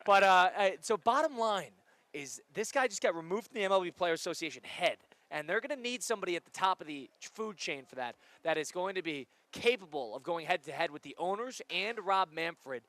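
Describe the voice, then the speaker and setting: A young man in his twenties hosting a casual sports radio show, animated and rambling, quick to laugh. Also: high-pitched, no room sound. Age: 20s. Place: radio studio.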